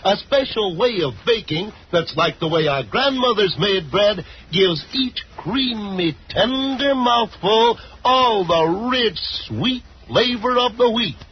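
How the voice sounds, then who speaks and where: A high-voiced American man works as announcer and narrator in old-time radio style. The vintage recording is muffled, with some noise. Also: animated, takes it slowly, hardly any pauses.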